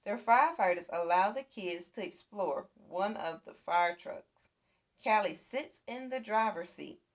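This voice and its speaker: A woman reading a children's picture book aloud as an expressive storyteller. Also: mid-range voice, measured pace. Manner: expressive, acts out sound effects and exclamations. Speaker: storybook reader.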